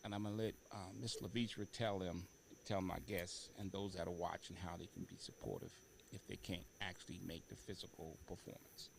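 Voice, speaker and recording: A man's voice: low-pitched, fairly even intonation, brisk, gravelly, hardly any pauses, background noise. A man hosting a talk show, speaking casually and feeling his way through his questions.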